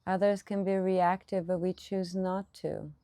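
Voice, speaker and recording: A woman leading a guided meditation as a teacher, giving gentle instruction in short, unhurried phrases with pauses between them. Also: fairly low pitch, flat delivery, no room sound, clean studio sound.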